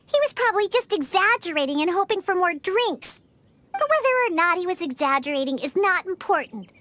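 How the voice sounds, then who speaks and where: A woman's voice: very high voice, animated, brisk, fairly bright tone. A woman voicing a sassy, grumpy cat-eared little girl bartender in a video game, huffy and haughty. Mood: smug, confident. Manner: huffy, haughty, emphatic delivery. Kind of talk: scripted video game dialogue. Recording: music behind. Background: background game music.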